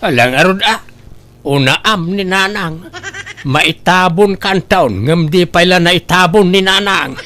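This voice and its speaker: A man's voice: high voice, unhurried, pushed and bright. A man playing a stern man who lectures a greedy woman about her wrongdoing. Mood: scolding. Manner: scolding, moralizing. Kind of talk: amateur comedy audio drama.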